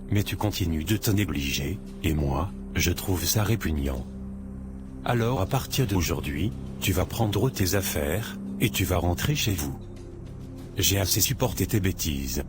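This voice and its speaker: A cruel cartoon husband, acted by a man. He orders and insults with cold contempt, over clearly audible music. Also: deep voice. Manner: harsh, commanding, insulting. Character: cruel, contemptuous husband throwing out his wife. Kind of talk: animated moral drama acting.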